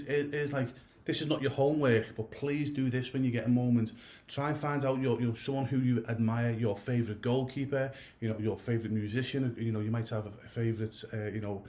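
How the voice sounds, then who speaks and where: A man's voice: medium pitch, a little mellow. A man in his thirties or older giving a casual coaching talk to goalkeepers. He rambles, restarts and goes off on tangents, and his nose is stuffy from a cold. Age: 30s or older. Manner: rambling, many restarts and asides.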